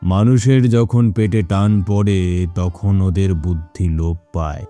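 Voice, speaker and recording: Dark, low-voiced greedy village boss acted by a man. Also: fairly even intonation, faint music behind. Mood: scheming. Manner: smug, gloating, deliberate. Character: greedy, scheming, cruel village boss who exploits the poor. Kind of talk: animated moral story voice acting.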